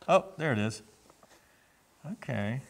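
A man's voice: medium pitch, lively intonation, slow, gravelly. An older man, a pastor, helping out with a children's sermon with short, good-humored replies, heard from across a church sanctuary. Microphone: far camera.

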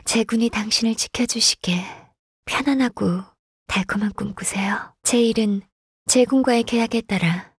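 An actress voicing a gentle, diligent, shy young woman secretary in a video game, speaking softly, politely and hesitantly. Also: a little high, normal speed, slightly rough, no room sound, studio quality. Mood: soft, wistful. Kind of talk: video game character voice lines.

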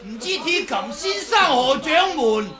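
A cocky, mocking martial swordsman in Hong Kong Cantonese. His delivery is slow but swings widely in pitch, with a bright, forceful edge. It sounds like an old 1980s radio drama. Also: sing-song, full character voice. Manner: bantering, mocking, exaggerated.

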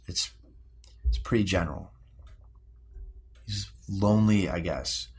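Husky, low-voiced American teenage boy. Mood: uneasy, hesitant. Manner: halting, repeating words, slangy teenage speech. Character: defensive, moody sixteen-year-old American high school boy. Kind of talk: naturalistic audio drama dialogue.

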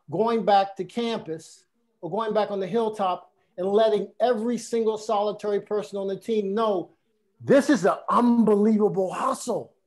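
A man hosting a conversational interview over a video call through an earbud headset. He talks in an animated way, often repeating and restarting his words. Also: sits high. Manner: animated, gestures a lot, many restarts and repetitions. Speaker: podcast host. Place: room at home.

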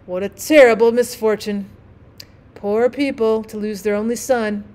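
A woman's voice: a little high, fairly even intonation, measured pace, a little mellow, natural voice. A woman voicing a vain, self-obsessed male firework rocket in a storybook reading, with haughty, indignant, self-important delivery. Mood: melodramatic.

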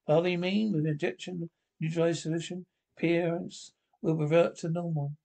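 A man acts out a fretful scientist father in an old-style radio horror play. He is protective and speaks plainly and haltingly, in a creaky voice pitched a little high. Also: steady tone, warm tone. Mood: frightened. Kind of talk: amateur reading of 1940s radio horror script.

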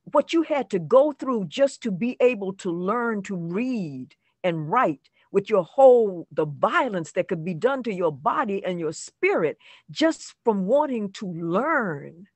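An older woman, an actress being interviewed on a video call, reflecting conversationally, halting and repeating words for emphasis. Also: medium pitch, big pitch swings, dry recording. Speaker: actress guest.

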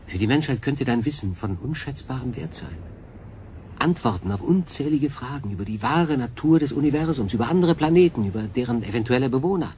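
Man playing a probing, mid-pitched stranded sailor. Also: animated, a little fast, darkish tone, a little hiss or hum, lightly acted. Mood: curious, pondering. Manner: questioning, reflective. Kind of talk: radio drama acting.

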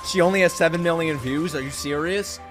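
A young adult American man giving excitable, slangy, crude live reactions as a streamer, casually chatting and exclaiming. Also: on the high side, a little fast. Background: music.

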